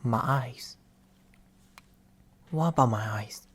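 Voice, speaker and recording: A soft-spoken male demon acted by a man murmurs right at the listener's ear in an ASMR roleplay. The delivery is very slow and theatrical, slipping often into breathy whispers. The voice sits at a medium pitch, intimate and teasing. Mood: playful, teasing. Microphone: close binaural microphone.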